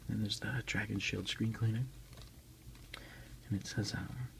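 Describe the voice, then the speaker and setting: A man speaking as an ASMR host, soft-spoken and unhurried, in a casual style. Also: lowish voice, steady tone, vocal fry, a little hiss or hum. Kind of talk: whispered ASMR unboxing, reading aloud and chatting.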